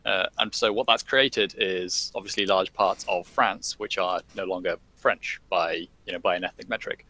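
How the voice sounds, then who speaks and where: Podcast guest: low-pitched man with a bright, forward tone, halting delivery full of "um" and "you know". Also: fairly even intonation. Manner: hesitant, many fillers and restarts. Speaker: guest commentator. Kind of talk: casual podcast discussion.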